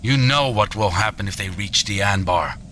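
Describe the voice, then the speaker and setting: An actor playing a ruthless military general in a science fiction audio drama, cold, grave and deliberate in manner. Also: male voice, on the low side, bright, forceful tone, slight background noise. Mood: grim, insistent.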